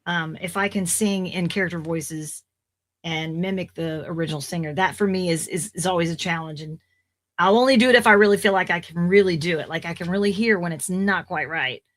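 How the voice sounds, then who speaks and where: Chatty woman with a low, slightly raspy voice. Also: brisk. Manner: chatty, rambling, with fillers and restarts. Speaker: voice actor guest. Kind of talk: casual podcast interview.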